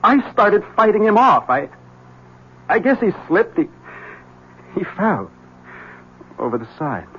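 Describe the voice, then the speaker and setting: Brief: a desperate husky man, tense and urgent, slightly high voice, dull old radio sound. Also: sing-song, takes it slowly, long pauses, a little hiss or hum, full character voice. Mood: haunted, urgent. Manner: tense, urgent, bursts of agitation. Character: haunted, desperate ex-husband warning a rival. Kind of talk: 1970s radio drama acting. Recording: dull 1970s radio broadcast.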